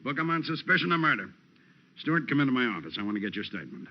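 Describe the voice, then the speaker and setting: Creaky-voiced gruff American police lieutenant. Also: male voice, medium pitch, very animated, very fast, over loud music. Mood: commanding. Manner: terse, commanding. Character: gruff, dogged male police lieutenant, impatient and plain-spoken. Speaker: actor playing police lieutenant. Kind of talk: 1940s-50s radio detective drama acting. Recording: muffled noisy old radio recording.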